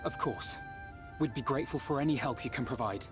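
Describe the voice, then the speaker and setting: An actor playing an earnest, courteous, compassionate young nobleman in a video game, speaking in a formal, measured way. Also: mid-range voice, lightly acted. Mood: gentle, grateful. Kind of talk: video game cutscene acting. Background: music.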